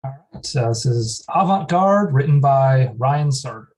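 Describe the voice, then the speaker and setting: A man reading the screen directions at a comedy table read in a plain, even way. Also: mid-range voice, measured pace. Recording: mic at a distance.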